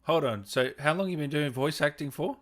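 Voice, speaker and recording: A man speaks as the host of a relaxed, informal livestream interview. He puts questions conversationally over a video call. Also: a little high, brisk. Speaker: gaming channel host interviewing a guest.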